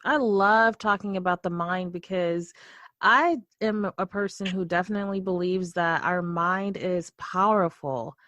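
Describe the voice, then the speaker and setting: A woman's voice: fairly low pitch, a little slow, fairly bright tone, no room sound. A woman hosts a podcast interview, asking questions with eager, affirming interest. Her voice sits close and dry, with no room sound around it. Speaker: podcast host. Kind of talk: interviewing a guest.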